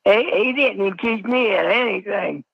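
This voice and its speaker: A man's voice: sits high, gravelly, slightly rough, no room sound. A man calling into a live stream through a voice changer, stammering and repeating himself. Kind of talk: unscripted phone-in. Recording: dull lo-fi call, radio-like.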